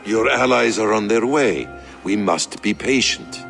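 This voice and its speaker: An actor playing a patient, shrewd Viking war counsellor in a video game, deliberate and persuasive. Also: male voice, lowish voice, a bit bright, faint music behind. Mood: measured. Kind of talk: dramatic video game acting.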